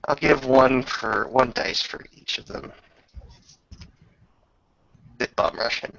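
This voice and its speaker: A man speaking as game master in a casual tabletop roleplaying session, halting and muttering through rules and dice. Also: mid-range voice, gravelly, a bit bright, slight background noise. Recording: dull lo-fi recording.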